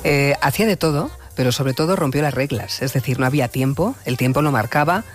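A man hosts a late-night radio interview. His delivery is musing and deliberate, with rhetorical turns and a mid-range pitch. Faint music plays underneath. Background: music playing under the talk.

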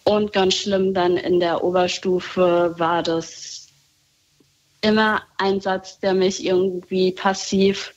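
Woman, unhurried speech, thoughtful, light laughs, dull lo-fi line. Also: medium pitch, level tone. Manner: reflective, soft-spoken, laughs often. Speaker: guest. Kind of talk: casual conversation on a call.

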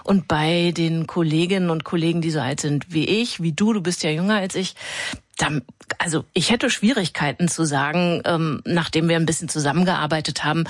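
A woman in her fifties speaks with a slight Hessian accent in a fairly low voice, recorded dry and close. She sounds like a radio host in conversation, thinking things through and explaining as she goes. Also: moderate pace, dry recording. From Hesse, Germany. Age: 50s. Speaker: radio talk show host. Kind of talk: conversational on-air co-host talk.

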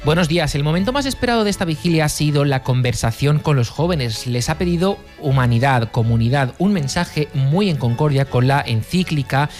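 A man reporting in a steady, informative news style. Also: on the high side, a little fast, soft background music.

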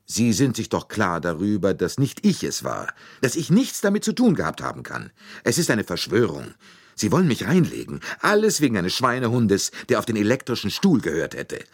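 A man playing an excitable, husky Italian suspect. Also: deep voice, fairly quick, no room sound, clean studio sound, heavily acted. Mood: agitated, indignant. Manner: indignant, emphatic protesting. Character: excitable, defensive Italian man, scornful and suspicious.

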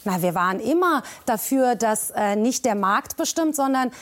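A woman politician from Bavaria answers interview questions with firm, practiced points. Her voice sits fairly high for a woman, and she speaks in steady, decided statements. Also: normal speed. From Bavaria, Germany. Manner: firm, campaign-style talking points. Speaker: lead candidate, interview guest. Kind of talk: radio interview.

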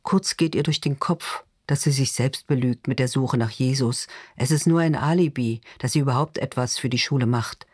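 A man's voice: medium pitch, normal speed, few pauses, breathy edge, no room sound, studio quality. A man narrating literary fiction in a scripted audiobook reading style.

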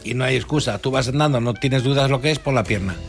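A man co-hosting a radio talk show, asking quick questions and joking around. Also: mid-range voice, over music. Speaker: radio co-host.

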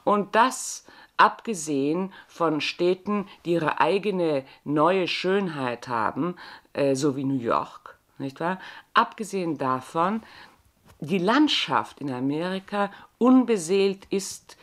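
Deliberate low-voiced woman from Bavaria. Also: expressive. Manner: deliberate, with frequent "äh" and "nicht wahr". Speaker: interview guest. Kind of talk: thoughtful conversational talk.

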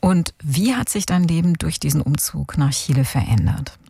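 Female interview host, low husky register, close dry studio sound, conversational questioning. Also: lively intonation, moderate pace, dark tone, no echo.

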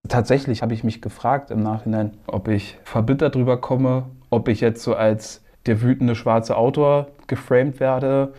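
A man's voice: medium pitch, steady tone, moderate pace, few pauses, mellow. A man from East Germany, an author, talking frankly and thoughtfully in an interview, sometimes searching for his words. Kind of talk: reflective interview talk.

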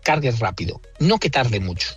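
A man's voice: mid-range voice, very animated, normal speed, slightly rough, faint music behind. A man with a central Spanish accent, explaining things conversationally with emphatic opinions and everyday examples, as an engineer being interviewed. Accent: central Spain Spanish. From Madrid, Spain. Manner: emphatic, opinionated, rhetorical questions.

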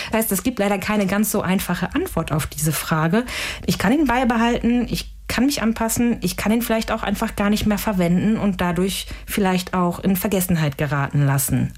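A woman's voice: mid-range voice, darkish tone. A woman researcher giving careful, analytical answers in a radio interview, often framing points as rhetorical questions. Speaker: language researcher, interviewed expert.

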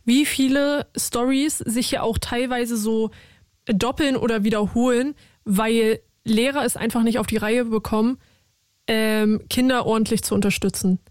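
Young female radio host, slightly high, dry studio sound, casual call-in chat. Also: normal speed, no room sound. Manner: chatty, lively, slangy and warm toward callers. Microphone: studio mic.

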